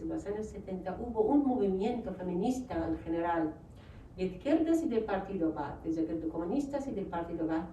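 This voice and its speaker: A woman's voice: on the low side, mellow. Iraqi-accented woman in an echoey hall. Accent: Iraqi Arabic. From Iraq. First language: Arabic. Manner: hesitant, many fillers. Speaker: writer and panel guest. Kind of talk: panel talk. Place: lecture hall. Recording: roomy far-off mic, faint noise.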